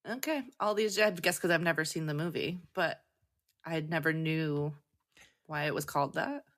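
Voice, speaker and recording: A woman talking casually as a panelist on a podcast, thinking out loud. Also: low-pitched, normal speed. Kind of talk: casual chat.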